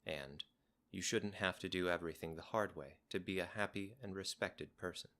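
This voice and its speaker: A man's voice: low voice, normal speed, bright, forceful tone. A man narrating a scripted fantasy game ending in a storybook reading style.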